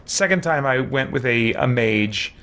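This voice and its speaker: A man in his thirties giving casual livestream commentary as he plays a video game, chatting and thinking out loud in a rambling way. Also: mid-range voice, expressive, unhurried. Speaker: live streamer, game player. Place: small indoor room with shelves. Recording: clear game sound behind the voice. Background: video game ambience and effects.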